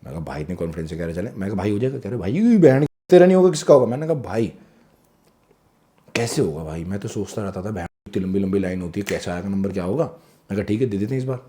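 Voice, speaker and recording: Animated man telling stories. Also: fairly low pitch, quick pace. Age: 30s. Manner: animated, swears freely, acts out dialogue. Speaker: guest. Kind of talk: casual storytelling, mixing Hindi and English. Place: podcast studio.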